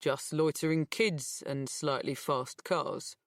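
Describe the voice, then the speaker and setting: Woman as a measured young policeman. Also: low voice, steady tone, dry recording, studio quality. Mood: casual. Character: young male police officer, polite, guarded, faintly amused.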